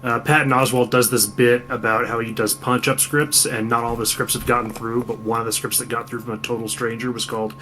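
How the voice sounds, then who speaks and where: A man's voice: mid-range voice, little pitch movement, fairly quick, few pauses, sharp tone. Jokey man bantering over a noisy hum. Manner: jokey, slangy. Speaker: co-host on a film commentary stream. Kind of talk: casual banter.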